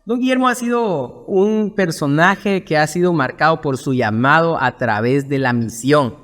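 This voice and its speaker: A man from Guatemala, a pastor hosting a podcast interview, who talks warmly and formally as he welcomes and questions his guest. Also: a little high, measured pace, in a large hall. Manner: warm and welcoming, formal in address.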